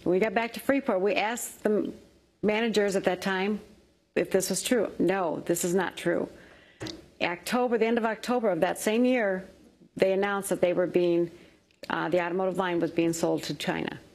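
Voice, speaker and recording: A woman's voice: medium pitch, fairly even intonation, normal speed, a little mellow. A woman in her fifties, a factory worker, answering interview questions in a plain-spoken, anxious way, on a lapel mic in a studio. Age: 50s. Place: television studio.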